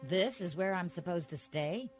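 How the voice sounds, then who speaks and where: A woman's voice: fairly low pitch, expressive, normal speed, lightly acted. A woman playing a young, wounded princess, frightened but wry, in a twisted fairy-tale radio play. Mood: matter-of-fact. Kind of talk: audio drama acting. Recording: music under the voice.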